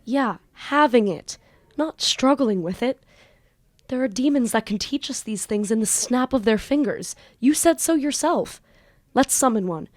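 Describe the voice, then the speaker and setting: A woman's voice: fairly high pitch, lively intonation, a little slow, a little mellow. A woman playing a flippant, theatrical teenage student magician in an audio drama, with a wordy, casual manner. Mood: eager, persuasive. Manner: casual teen banter, theatrical wordy narration. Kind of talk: audio drama acting and first-person narration.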